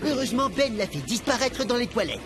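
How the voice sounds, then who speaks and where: High-voiced, naive cartoon animal. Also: male voice, big pitch swings, fast. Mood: proud, chatty. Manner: earnest, theatrical storytelling. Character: naive, chatty, eager male cartoon animal. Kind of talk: French animated cartoon dub. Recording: music under the voice.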